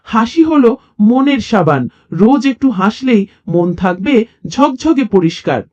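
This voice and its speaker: Children's storyteller for scripted comic tales: unhurried delivery, very lively pitch. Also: highs cut off. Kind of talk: scripted narration of funny children's stories.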